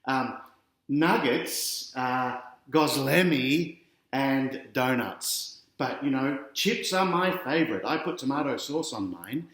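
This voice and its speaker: An Australian man reads a picture book to children in an echoey room. His pitch is average, and he keeps stepping out of the story with casual, chatty asides aimed straight at the listener. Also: lively intonation, slow, a bit bright. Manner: chatty, directly addressing young listeners. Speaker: author reading his picture book aloud.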